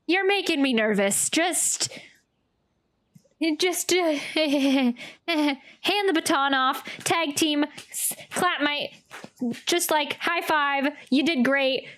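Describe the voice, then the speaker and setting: A woman's voice: high-pitched, a bit bright. A woman streaming a game playthrough with casual reactions, coaxing in a motherly way and repeating phrases. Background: game music.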